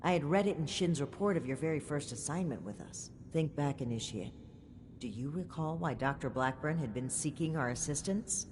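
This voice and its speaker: Formal, measured officer over noisy ambience. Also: female voice, deep voice, expressive. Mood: serious, analytical. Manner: formal, articulate, deliberate. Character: earnest, formal female military officer, principled and measured. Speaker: video game character. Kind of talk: scripted game dialogue. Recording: clear background noise, game ambience.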